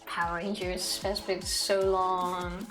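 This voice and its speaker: A woman's voice: on the low side, little pitch movement, slow pace, fairly bright tone. Girl streamer chatting casually, blunt, dry jokes, music in the background. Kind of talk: casual chat before a game stream. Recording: music playing behind the voice.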